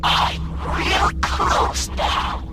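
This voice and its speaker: A woman playing a menacing, fiery jack-o'-lantern animatronic monster in a horror game, taunting in a slow, breathy voice. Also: heavy reverb, loud music behind the voice. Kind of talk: scripted horror video game villain lines.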